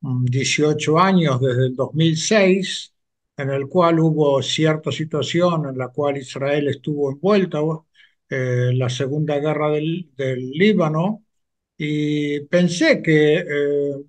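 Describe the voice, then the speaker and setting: An older man in his seventies speaks slowly, pausing often to find his words. His voice sits a little high for a man. He talks into a headset on a video call from a room at home. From Argentina. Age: 70s. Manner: measured, hesitant with frequent "eh" pauses. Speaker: interview guest, retired sociologist. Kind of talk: slow, thoughtful interview answers.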